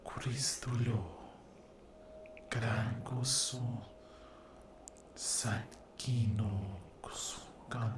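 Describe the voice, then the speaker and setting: Man acting male sleep demon, mean and possessive, breathy whispering, echoing space, ASMR roleplay. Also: medium pitch, little pitch movement. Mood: eerie incantation. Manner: very slow, drawn-out, incantation-like delivery with long pauses.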